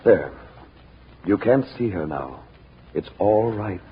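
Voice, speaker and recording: An actor in 1940s radio style playing a protective adventurer hero, urgent, authoritative and reassuring. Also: male voice, low voice, lively intonation, takes it slowly. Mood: firm, worried. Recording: tinny noisy old radio recording.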